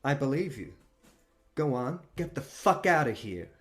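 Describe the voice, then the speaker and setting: A man voicing a calm, menacing vampire who speaks with cold politeness. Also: medium pitch, a little slow, soft background music, voice pushed up.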